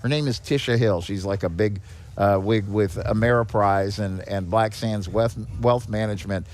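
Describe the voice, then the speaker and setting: A man's voice: lowish voice, normal speed, darkish tone. Folksy, emphatic older man explaining things. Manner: folksy, emphatic, repeats key points. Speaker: podcast guest, head of a seniors' nonprofit. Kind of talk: conversational explaining with anecdotes. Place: on location at a garage.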